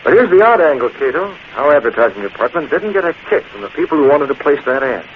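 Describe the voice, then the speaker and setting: An actor playing a shrewd, authoritative newspaper boss, firm and explanatory, in 1940s radio drama style. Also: male voice, on the high side, sing-song, fast. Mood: shrewd, urgent. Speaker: newspaper executive. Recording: tinny, hissy 1940s radio recording.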